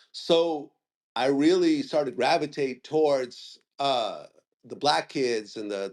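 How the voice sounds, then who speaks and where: A man in his forties from Ukraine who grew up in Northern California, talking casually with frequent restarts and fillers. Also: medium pitch. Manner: rambling, with many restarts and fillers. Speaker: guest interviewer and media host. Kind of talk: casual audio chat room conversation.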